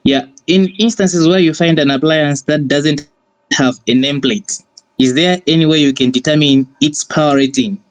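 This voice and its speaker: A man's voice: moderate pace, no echo. A man speaking as a student asking a question in an online class. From Zambia. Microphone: online call connection. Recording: dull, low-fidelity call audio.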